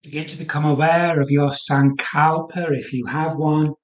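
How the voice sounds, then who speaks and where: A man guiding a yoga nidra meditation in a soothing, unhurried manner, leaving pauses between short phrases. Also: a little high, flat delivery, echoey room. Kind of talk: guided meditation.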